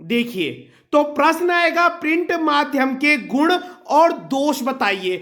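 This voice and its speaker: A man's voice: extremely high pitch, sing-song, slow pace, fairly bright tone. A male teacher with a sore throat, giving an exam-prep lesson to students, listing points one by one and repeating them. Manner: often checks in with "theek hai".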